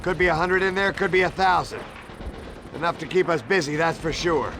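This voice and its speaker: A man's voice: fairly high pitch, brisk, background noise, close to an everyday voice. A male actor playing a tough American marine sergeant in a war game cutscene, firm, matter-of-fact and commanding. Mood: briefing. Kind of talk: dramatic military game cutscene acting. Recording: prominent music and battle sounds behind.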